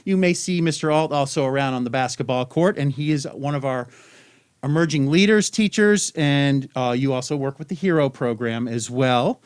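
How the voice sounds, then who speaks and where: A man's voice: medium pitch, moderate pace, clear, resonant tone, dry recording. A man hosting a school news show, upbeat and chatty, with a joking streak as he introduces guests and questions.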